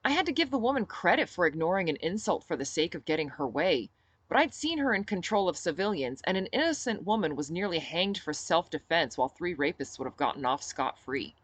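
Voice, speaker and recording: A woman reading her own novel aloud as expressive first-person audiobook narration. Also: on the low side, brisk, dry recording.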